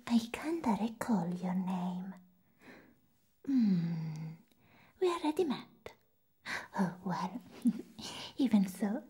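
A young woman playing an unhinged, nervous, hungry vampire nurse in a whispered ASMR roleplay, halting and repeating words, close to the microphone. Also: mid-range voice, dark tone, slightly rough, full character voice. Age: young adult.

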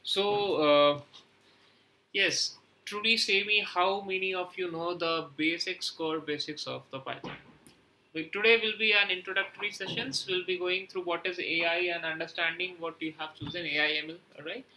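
A man leads an online class over a video call, in a loose, chatty way with plenty of okays and uhs. His voice is very bright and a little high for a man. A faint hiss sits under the call. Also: normal speed, low-quality recording. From West Bengal, India. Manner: loose and conversational, many fillers like okay and uh. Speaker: online course mentor. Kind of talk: online class intro and lecture.

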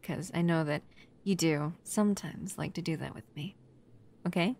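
A woman playing a protective, upset human lover in an ASMR roleplay, stern yet often softly whispery. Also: deep voice, moderate pace, a little hiss or hum. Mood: soft, affectionate. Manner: soft, breathy near-whispers mixed with stern scolding.

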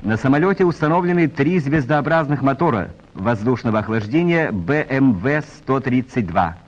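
A man reading scripted narration in a formal, measured announcer style, as in a 1940s training film. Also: medium pitch, expressive, slight background noise. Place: voice-over recorded for a film. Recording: muffled vintage 1940s film soundtrack.

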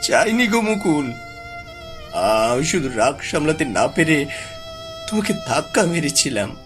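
A man playing a frightened, remorseful man in a horror cartoon, stammering and pleading haltingly. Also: a little high. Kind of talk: animated horror story acting.

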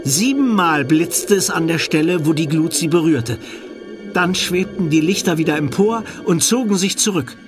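A man's voice: fairly high pitch, animated, moderate pace, over music. A man narrating a children's audio drama in dramatic scripted style.

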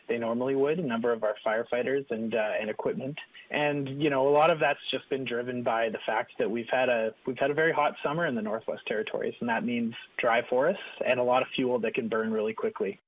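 A man's voice: medium pitch, dry recording. Man speaking over a dull, dry line. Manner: measured, hesitant with fillers. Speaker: wildfire information officer. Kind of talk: interview over phone. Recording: dull dry phone-like audio.

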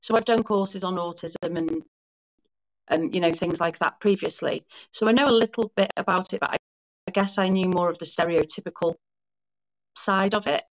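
A woman in her forties with a lowish voice talks through her experiences in a measured, thoughtful way, pausing and correcting herself as she goes. The sound is dull and lo-fi, like audio from a remote call. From Wales. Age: 40s. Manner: thoughtful, explains at length with small restarts and self-corrections. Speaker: guest. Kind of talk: casual conversational interview. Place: home lounge.

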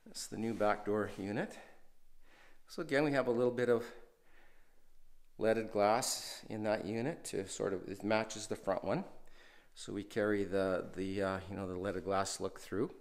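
A man's voice: fairly low pitch, fairly even intonation, measured pace. A man hosting a renovation video, casually walking through an empty house and talking with many fillers and restarts. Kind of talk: casual walkthrough narration.

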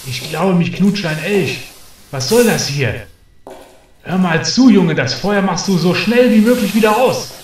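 A man's voice: high-pitched, lively intonation, unhurried. An actor playing a grumpy, bossy night watchman in a German audio drama, grumbling and scolding. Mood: indignant, scolding. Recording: roomy, distant, with ambient noise.

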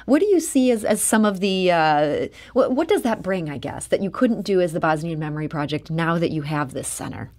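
A woman hosting a public radio interview, asking questions with a lively, rising delivery. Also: lowish voice, brisk, hardly any pauses. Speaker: radio host.

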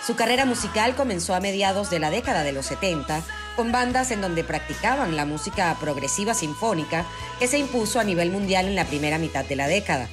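A woman reading a scripted introduction of a guest as announcer, formal and smooth. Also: mid-range voice, expressive, a little fast. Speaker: show announcer. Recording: music clearly under voice-over.

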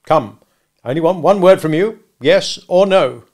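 A man voicing a bullying, sarcastic gentleman who cross-examines like a lawyer in a storybook reading. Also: on the high side, animated, normal speed, voice pushed up. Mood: badgering. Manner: interrogative, sarcastic, emphatic, domineering. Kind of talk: dramatic audiobook character reading.

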